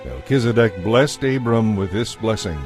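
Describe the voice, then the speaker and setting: A man narrating scripture from a script as a solemn, measured storyteller. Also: on the low side, lively intonation, music in the background.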